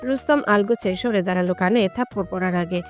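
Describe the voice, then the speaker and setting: A woman's voice: medium pitch, fairly quick, faint music behind. A woman reading a scripted romantic story as its narrator.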